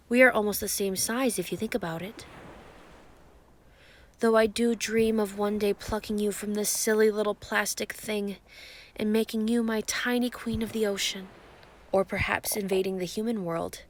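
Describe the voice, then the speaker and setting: A woman playing an ancient, giant female sea creature, calm and grandiose, flirting possessively in an intimate roleplay monologue. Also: medium pitch, close to an everyday voice. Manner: calm, teasing, grandiose, formal wording.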